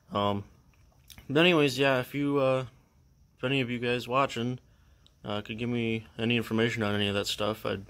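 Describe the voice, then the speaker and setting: Low, flat-voiced man giving a casual walkthrough. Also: creaky. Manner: unsure, hesitant, many fillers and trailing phrases. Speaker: vlogger showing his radio collection. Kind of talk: casual show-and-tell walkthrough.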